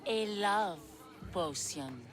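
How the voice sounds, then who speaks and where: A woman playing a sly witch in a video game, with a deliberate, theatrical, coaxing delivery. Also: mid-range voice, expressive, a crowd in the background, background noise. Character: sly witch of Hecate peddling potions, slow, sultry. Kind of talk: dramatic video game acting.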